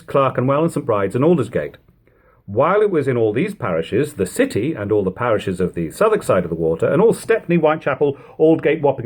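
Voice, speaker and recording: Man reading literature aloud, swooping expressive pitch. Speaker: reader of a classic book. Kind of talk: audiobook-style literary narration.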